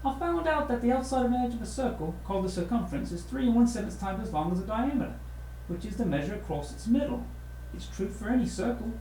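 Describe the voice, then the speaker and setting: An earnest young boy hero from a storybook, put on by a man reading aloud. He speaks emphatically in a raised, mellow voice, reasoning with care. The room echoes around him. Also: high-pitched, expressive, quick pace, slight background noise, pitched above the performer's own voice. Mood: proud.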